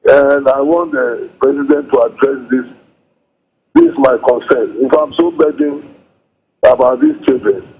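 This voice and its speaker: A man's voice: on the high side, vocal fry, breathy edge. Earnest male caller on a tinny phone line. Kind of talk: phone-in comment.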